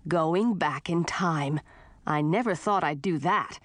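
A woman's voice: low-pitched, slow, no room sound, studio quality. A woman voicing an eager, stubborn young man with a teasing, gallant streak in a storybook reading. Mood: excited.

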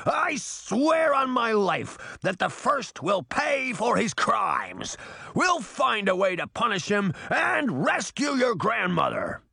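A man's voice: high voice, huge pitch swings, slow pace, gravelly, bright, forceful tone, breathy edge, no echo, clean studio sound, pitched above the performer's own voice, heavily acted. A man voicing a fierce, protective storybook figure with a vehement roaring delivery. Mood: anguished fury.

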